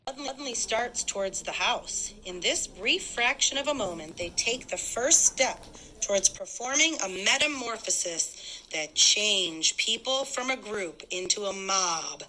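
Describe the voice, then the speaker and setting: A woman's voice: medium pitch, animated, a little slow, bright, forceful tone, low-quality recording. A woman reading stage directions aloud as the narrator of a dramatic script.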